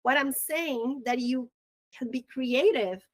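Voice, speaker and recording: A woman's voice: high voice, slow, dry recording. A woman with a Brazilian accent, Portuguese her first language, giving a casual, upbeat training on tools, often restarting her words. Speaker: real estate trainer.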